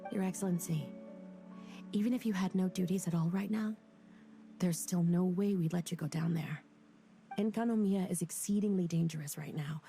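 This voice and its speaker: A woman's voice: on the low side, gravelly. A woman voicing a loyal young female military officer who briefs her leader in a game cutscene, over game music. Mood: respectful. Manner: brisk, respectful, wry. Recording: game audio with loud music.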